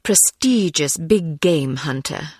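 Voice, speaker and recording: A woman's voice: low-pitched, animated, slow pace, a little mellow, husky, dry recording, clean studio sound, full character voice. A woman playing a composed young female spy posing as a reporter in a video game, polite and drily persistent. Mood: polite charm. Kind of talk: scripted video game voice acting.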